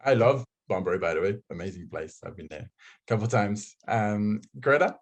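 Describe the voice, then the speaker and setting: A man's voice: lowish voice, expressive, normal speed. A young man with a French accent hosts an online student panel from his own video call. He chats loosely and pokes fun at himself, with plenty of ums between his phrases. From France. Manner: chatty, self-joking, filler-heavy. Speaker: host. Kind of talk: casual online panel hosting.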